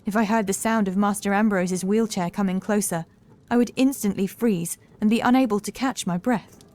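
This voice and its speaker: Woman acting a mellow, fragile haunted housemaid. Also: medium pitch, normal speed, lightly acted. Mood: haunted, fearful. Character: fragile young housemaid haunted by cruel masters, dreamy and anxious. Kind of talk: dramatised horror audiobook.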